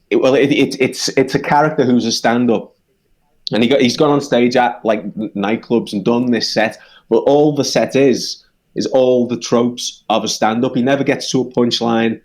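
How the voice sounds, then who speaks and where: Halting, animated British songwriter man. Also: medium pitch. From United Kingdom. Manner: halting, many restarts, animated when making a point. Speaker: songwriter guest. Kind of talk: casual conversation.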